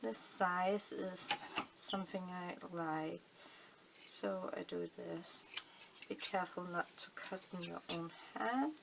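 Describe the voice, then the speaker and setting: A middle-aged woman from Denmark with a Danish accent, calmly explaining a fabric-flower craft at her table, thinking aloud with many fillers. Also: on the low side, takes it slowly, gravelly, very noisy. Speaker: crafting channel host. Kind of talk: casual craft tutorial. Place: indoors at a table, hands on camera.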